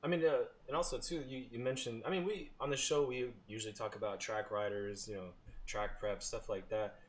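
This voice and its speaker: A man hosting a podcast, asking his questions in a measured, careful way. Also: medium pitch, fairly even intonation. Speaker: podcast host. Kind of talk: interview questions.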